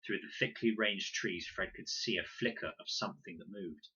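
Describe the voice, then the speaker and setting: A man reading a children's audiobook in an expressive, dramatic storytelling manner. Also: deep voice, normal speed, breathy edge, muffled recording. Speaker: reader.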